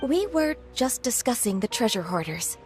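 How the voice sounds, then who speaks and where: A dutiful young secretary in an anime game. Her manner is gentle and polite, with careful phrasing in a higher-than-usual female register. Music is clearly audible underneath. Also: very animated, normal speed. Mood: earnest.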